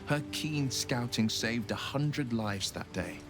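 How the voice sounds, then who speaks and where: A man's voice: medium pitch, animated, slow, over music. A man playing an earnest, resolute young nobleman turned warlock adventurer in a dramatic video game, speaking in a formal, sincere way. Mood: solemn, proud.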